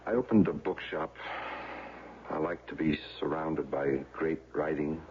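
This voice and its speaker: A man's voice: very deep voice, a little mellow, a little hiss or hum. Defeated, stammering man, tinny radio. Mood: dejected, ashamed. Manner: halting, stammering, agitated then dejected. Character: defeated, self-pitying accused man, a failed small-town bookseller. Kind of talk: 1970s radio drama acting. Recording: tinny, band-limited old radio recording.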